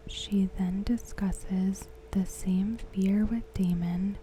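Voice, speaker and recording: A woman reads aloud softly in an ASMR style, in a hushed, breathy whisper with short phrases and long pauses. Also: medium pitch, a little hiss or hum, rough recording. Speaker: ASMR host.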